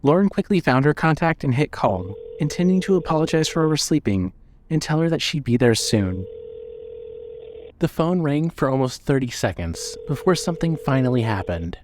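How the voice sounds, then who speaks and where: A man's voice: fairly high pitch, very animated, normal speed, a little mellow, a little hiss or hum. A man narrating a scripted story as the narrator of an audio drama.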